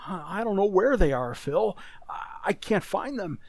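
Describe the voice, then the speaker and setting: A man acting a troubled, forgetful factory boss in an old-style radio drama, halting and uneasy. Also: high voice, big pitch swings, darkish tone, dry recording, exaggerated voice. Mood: uneasy, defensive.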